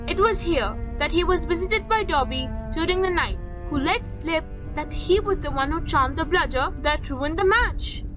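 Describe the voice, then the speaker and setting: A woman's voice: very high-pitched, poor audio. A woman narrator reading a scripted story recap.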